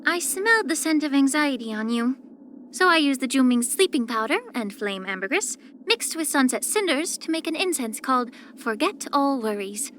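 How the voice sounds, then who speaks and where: A woman voicing a poised, soft-spoken, subtly sly young female healer in a video game, with measured, gentle, composed delivery. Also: high-pitched, expressive, a little hiss or hum. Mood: gently teasing. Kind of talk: scripted character voice lines.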